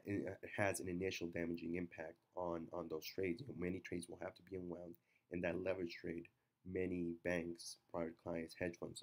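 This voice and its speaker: A man with a stuffy cold giving halting, unscripted market commentary with many fillers. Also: sits low, a little mellow.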